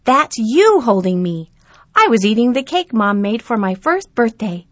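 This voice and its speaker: A woman voicing an excited, earnest young boy in a storybook reading, his lines pitched high and loud. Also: measured pace, no room sound, heavily acted. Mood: eager. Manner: high and eager, loud exclamations.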